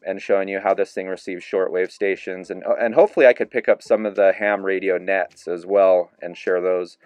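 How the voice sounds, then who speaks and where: Low, smooth-voiced man reviewing a radio. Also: no echo, lo-fi sound. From Idaho. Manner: relaxed, easygoing with fillers, demonstrating a device. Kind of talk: casual product review.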